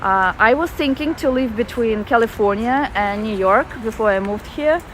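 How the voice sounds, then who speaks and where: A woman's voice: fairly high pitch, expressive, normal speed, fairly bright tone. Russian-accented woman livestreaming on a city walk, chatty and loose, faint traffic behind her. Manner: chatty, reads viewer comments aloud with fillers. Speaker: walking livestreamer. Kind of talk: casual live-stream chat reading comments. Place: outdoors in a city park.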